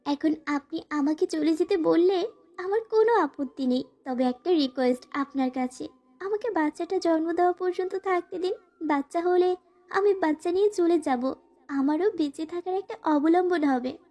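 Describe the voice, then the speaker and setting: A woman's voice: very high-pitched, normal speed, dark tone. A woman voicing a timid, sorrowful young wife who narrates a dramatized romantic story and pleads submissively. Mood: hesitant, confessing. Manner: formal, submissive address to her husband.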